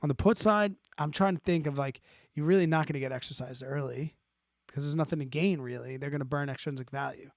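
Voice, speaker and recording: A man's voice: fairly high pitch, fairly quick, no room sound, studio quality. A man hosting an investing live stream, explaining off the cuff in an informal, blunt way, thinking aloud and checking in as he answers viewer questions.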